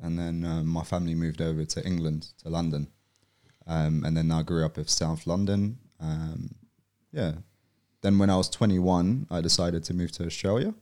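A young man from Poland, a podcast guest, talking in a relaxed way and often pausing with "um" and "you know". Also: sits low. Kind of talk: casual podcast conversation.

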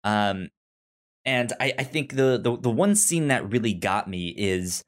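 A man co-hosting a casual film discussion, thoughtful and halting, often stuttering and repeating syllables. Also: lowish voice, animated. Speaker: podcast co-host.

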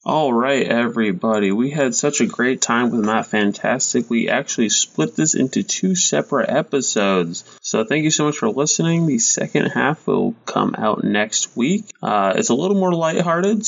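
A man hosting a podcast, wrapping up the episode in a friendly way with relaxed fillers. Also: medium pitch, creaky, dull audio. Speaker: podcast host. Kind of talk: episode outro.

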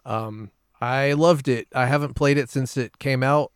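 A man with a mid-pitched voice chats casually on a gaming podcast. The sound is very dry, with no room around him, and his delivery is informal. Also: fairly even intonation, measured pace, clear, resonant tone, no room sound. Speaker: podcast guest.

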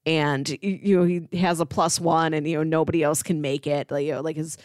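A woman's voice: deep voice, fairly even intonation, a little fast, a little mellow, no echo, studio quality. A woman co-hosting a film podcast in a casual, conversational style. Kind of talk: casual chat, film recommendations.

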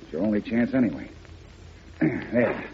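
An actor playing a confident, quick-thinking, bossy crime-fighting hero in an old radio serial. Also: male voice, fairly low pitch, sing-song, vocal fry, heavily acted. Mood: coolly reassuring. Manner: brisk, bossy, reassuring. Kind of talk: 1940s radio serial acting. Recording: dull, noisy old radio recording.

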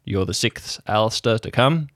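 Guy doing a haunted bulky old uncle, paranoid n muttering, fierce, lowish voice, audio drama. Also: animated, normal speed, a bit bright, no room sound, studio quality. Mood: agitated, paranoid.

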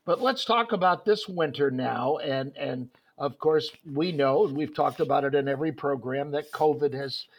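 Male interview host, rambling, plenty of uh's, slightly high voice. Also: moderate pace, hardly any pauses. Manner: rambling, with many fillers and restarts. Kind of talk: sports interview.

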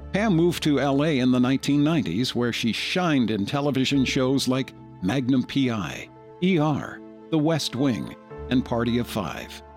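Animated man narrating a podcast tribute. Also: mid-range voice. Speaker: podcast host.

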